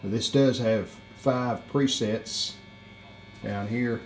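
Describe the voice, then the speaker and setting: An older man giving a hands-on repair walkthrough in plain-spoken, halting phrases. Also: mid-range voice, steady tone, a little hiss or hum.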